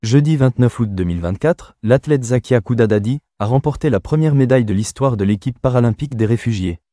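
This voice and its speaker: Mellow male newsreader, dry sound. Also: mid-range voice, expressive, brisk, no room sound. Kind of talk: scripted news bulletin.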